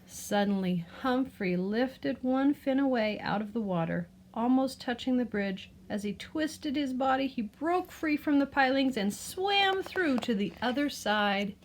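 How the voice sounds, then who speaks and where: A woman's voice: high-pitched, expressive, measured pace, few pauses, rough recording. Woman reading a picture book aloud, faint noise. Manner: explains words and asks the listener gentle questions. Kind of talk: expressive children's read-aloud with teaching asides.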